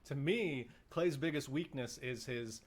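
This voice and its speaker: A man's voice: medium pitch, unhurried. A man co-hosting a podcast, a speech coach, asking playful, probing questions in casual chat.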